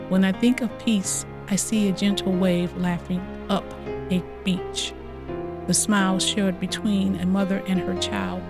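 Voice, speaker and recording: A woman giving a slow, gentle poem recitation. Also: mid-range voice.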